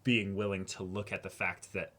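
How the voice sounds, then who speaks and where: A man's voice: low-pitched, level tone, normal speed, bright, forceful tone, a little hiss or hum. A man co-hosting a casual, emphatic discussion of a show, talking animatedly and swearing freely. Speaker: co-host of an anime reaction discussion show.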